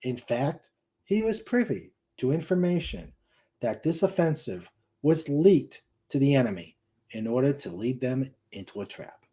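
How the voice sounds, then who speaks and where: A man narrating a science fiction war novel in a deliberate read-aloud delivery, with small stumbles here and there. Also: medium pitch, very animated, mellow. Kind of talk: dramatic reading of a sci-fi war novel.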